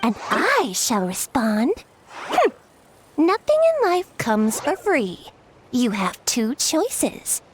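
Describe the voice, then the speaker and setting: A woman's voice: on the high side, huge pitch swings, slow pace, a little hiss or hum, exaggerated voice. A woman voicing a smug, scheming little fungus who speaks with scorn. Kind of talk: video game voice acting.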